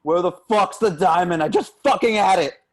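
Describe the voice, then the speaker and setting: A man's voice: sits high, normal speed. Man playing a curt, menacing henchman. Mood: angry. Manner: terse, blunt. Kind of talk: amateur table read over video call.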